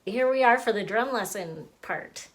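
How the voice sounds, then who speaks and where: A woman's voice: mid-range voice, animated, normal speed. A woman giving a step-by-step drawing lesson as an author and illustrator, narrating simply as she draws. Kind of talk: slow step-by-step drawing demonstration.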